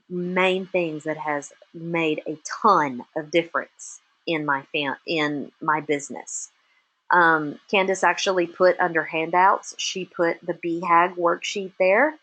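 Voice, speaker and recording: A woman's voice: fairly low pitch, low-quality recording. A middle-aged woman with a Texas accent giving a motivational business webinar, speaking in short emphatic statements. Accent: Texan. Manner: emphatic, punchy short statements with lively rises. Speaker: webinar presenter and business coach for chefs.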